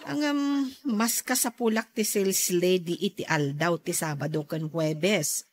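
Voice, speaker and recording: An actress playing a helpful older sister who keeps a small shop, in a Filipino radio drama with a Filipino accent. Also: female voice, on the low side, a little slow, slightly rough. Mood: frank, animated.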